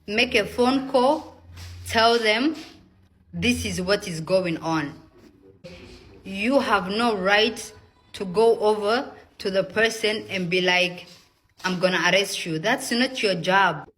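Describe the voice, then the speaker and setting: A woman speaking directly to listeners in an earnest, pleading and emphatic appeal, choosing her words deliberately. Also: medium pitch, fairly bright tone. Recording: noisy, with background ambience.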